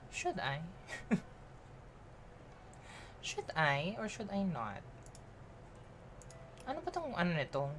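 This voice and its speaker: Woman, Filipino accent, game streamer, chatty, code-switching, bright tone, animated pitch. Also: slight background noise. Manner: chatty, switching between Tagalog and English mid-sentence; giggly, thinks aloud while playing. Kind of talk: casual live-stream game commentary.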